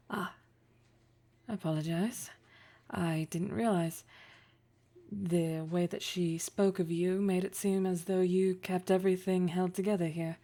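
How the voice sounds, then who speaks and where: A dark, mellow, low voice with a heavy creak belongs to a domineering , woman-acted female boss in an audio roleplay. She is poised and formal, taking her time over each phrase. There is a flirtatious, condescending tease in the way she addresses the listener. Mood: smooth, apologetic. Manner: formal, measured, condescending, teasing, with deliberate pauses.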